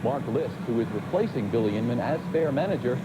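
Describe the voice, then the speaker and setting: A man's voice: medium pitch, animated, brisk. American news anchorman, very noisy recording. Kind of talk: scripted local news reading. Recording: noisy old TV broadcast recording.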